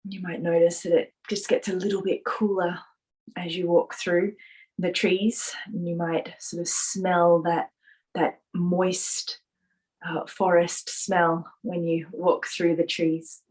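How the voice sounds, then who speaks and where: Woman, meditation guide, lowish pitch, very slow pace, gentle and soothing, soft-spoken, long pauses. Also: flat delivery, poor audio.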